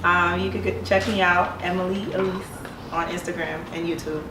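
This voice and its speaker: A woman's voice: lowish voice, little pitch movement, normal speed. A woman in her thirties from Brooklyn, of Haitian family, telling personal stories in casual chat, full of "like" and laughter. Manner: chatty, fills her talk with "like", laughs as she talks. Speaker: panel guest. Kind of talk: casual chat, candid storytelling. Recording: roomy sound, mic at a distance, faint noise.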